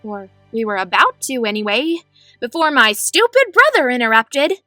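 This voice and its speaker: Woman playing a high, lively teenage sister. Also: normal speed, dry recording, faint music behind. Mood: fond. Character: caring, easily exasperated teenage sister. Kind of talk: animated comic dub acting and narration.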